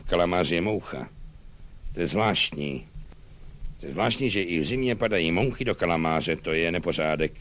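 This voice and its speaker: Storybook colonel, male performer, self-important and grim but woolly-minded, repeats himself haltingly, very varied pitch. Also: animated, a bit bright. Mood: distracted grumbling. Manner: halting, repetitive, pompous. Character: pompous, grim, muddle-headed male army colonel.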